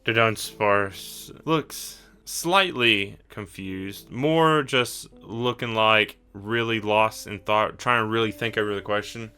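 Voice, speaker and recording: A man's voice: lowish voice, slow pace, hardly any pauses, pushed and bright, smooth. A man working as game master, improvising narration for a tabletop roleplaying game.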